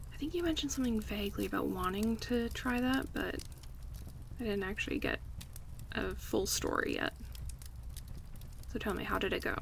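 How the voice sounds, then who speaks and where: A woman playing an affectionate, playful close friend in a casual, relaxed ASMR roleplay, chatting and teasing in a conversational way. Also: mid-range voice, vocal fry, a little hiss or hum, close to an everyday voice. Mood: warm, interested.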